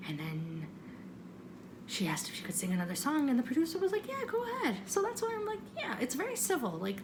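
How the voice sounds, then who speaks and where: A woman telling a story in a casual, chatty vlog style, animatedly acting out what people said. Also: fairly high pitch. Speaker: vlogger. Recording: noticeable background noise.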